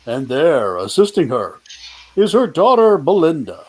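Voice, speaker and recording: A man playing a solemn, stately spirit who speaks gravely and deliberately in a radio play reading. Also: a little high, big pitch swings, a little hiss or hum. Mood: narrating, stately. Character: solemn, stately Christmas ghost guiding an old miser.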